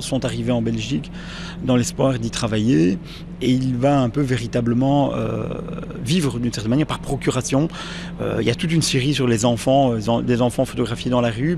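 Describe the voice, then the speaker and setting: A man's voice: medium pitch, a little mellow. A man explaining in an interview as an art expert, hesitantly searching for his words. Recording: clear background noise on location.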